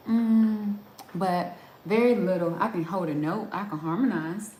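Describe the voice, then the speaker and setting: A woman's voice: fairly low pitch, dark tone, slight background noise. A middle-aged woman hosting a casual livestream, chatting and answering viewer comments, laughing often and restarting her sentences. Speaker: livestream host. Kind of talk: casual live chat reading viewer comments. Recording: roomy echo with faint background ambience.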